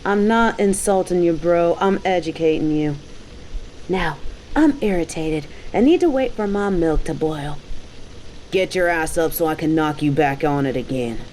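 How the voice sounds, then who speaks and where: A woman's voice: low voice, moderate pace, darkish tone, slight background noise, lightly acted, rough recording. A woman playing a cocky, dominant bounty hunter in an audio roleplay, with a mocking, taunting, slangy delivery. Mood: scornful, lecturing. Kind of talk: scripted roleplay audio drama.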